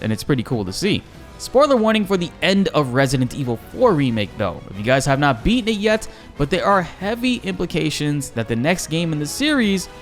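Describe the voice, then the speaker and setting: A man giving informal, enthusiastic gaming news commentary as a YouTuber, addressing his viewers directly. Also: mid-range voice. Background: faint music.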